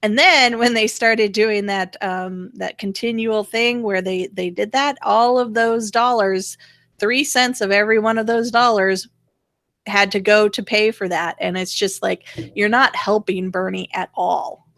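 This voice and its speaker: A woman's voice: medium pitch, steady tone, a little slow, a bit bright, dry recording. A woman co-hosting a rant show, chatting casually and frankly with opinions and the odd swear word. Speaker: co-host of a political rant show.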